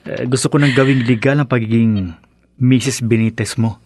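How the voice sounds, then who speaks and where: An actor playing an earnest, devoted young husband and dutiful son, placating and hesitant, in a radio drama. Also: male voice, medium pitch, normal speed, noisy background, close to an everyday voice. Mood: tender, reassuring. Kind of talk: radio drama acting in Tagalog.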